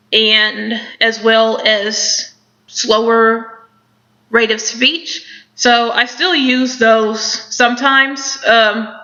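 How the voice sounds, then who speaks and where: Young woman, stutters with repetitions and blocks, thoughtful, gravelly fry, dull lo-fi sound. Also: fairly high pitch, sharp tone. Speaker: person who stutters answering questions. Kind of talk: self-interview, reading questions and answering.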